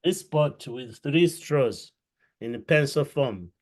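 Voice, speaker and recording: A man from Uganda hosting an interview podcast from a room at home, greeting his audience in an effusive, drawn-out way. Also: medium pitch, expressive, darkish tone. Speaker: podcast host. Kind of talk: welcoming interview host. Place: home room with books and a clay pot.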